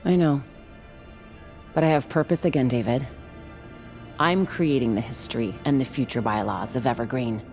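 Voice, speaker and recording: Very deep-voiced bitter woman. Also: normal speed, pauses often, a little mellow, faint music behind. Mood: bitter, purposeful. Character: bitter, grieving woman bent on erasing a man's legacy. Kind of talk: scripted audio drama acting.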